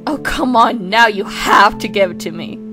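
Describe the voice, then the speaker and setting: A woman's voice: mid-range voice, moderate pace, sharp tone, soft background music, lightly acted. A woman voicing a proud, prim male twin in an amateur comic dub, haughty and theatrical but grudgingly kind. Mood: curious, demanding.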